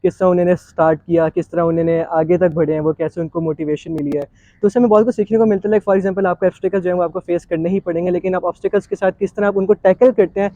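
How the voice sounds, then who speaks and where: A man's voice: sits high, fairly even intonation, quick pace, dark tone, no room sound, muffled recording. A man with a Pakistani accent hosting a conversational interview, mixing English phrases into his speech. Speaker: interview show host.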